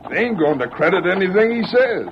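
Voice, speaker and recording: A man's voice: high-pitched, big pitch swings, fairly quick. A man playing a deferential but doubting outlaw henchman in an old radio Western, talking folksily with an American Western accent. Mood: doubtful. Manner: folksy, eager to please the boss. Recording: muffled, noisy old radio broadcast.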